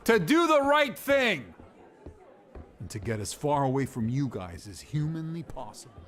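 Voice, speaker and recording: An actor playing an indignant, lovelorn ventriloquist in a noir audio drama, high-strung, fretful and exclaiming. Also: male voice, very animated, a little slow, fairly bright tone.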